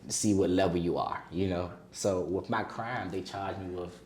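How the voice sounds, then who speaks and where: A man's voice: low voice, steady tone, moderate pace, a little mellow, roomy sound, slight background noise. Laid-back young man with DC accent. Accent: Washington DC area. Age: 20s. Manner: laid-back, candid storytelling with street slang. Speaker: podcast guest. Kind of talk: casual interview conversation.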